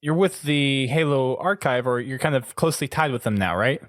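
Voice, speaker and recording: A man hosting a casual podcast interview in a measured, conversational way. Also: mid-range voice, fairly bright tone, no room sound, clean studio sound.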